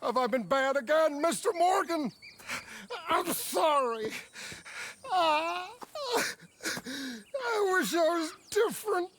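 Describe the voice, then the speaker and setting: An actor playing a drunken, pious frontier reverend in a game cutscene, slurring theatrically, grandiose and pitiful. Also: male voice, very high-pitched, big pitch swings, takes it slowly, fairly bright tone, slight background noise, exaggerated voice. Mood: remorseful. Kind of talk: dramatic game cutscene acting.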